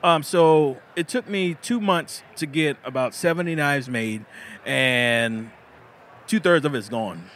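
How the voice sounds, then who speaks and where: A man's voice: a little high, takes it slowly, fairly bright tone. A man, a bladesmith chatting casually in an interview at an outdoor festival, laughing often and repeating words as he starts sentences. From South Carolina. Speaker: bladesmith guest.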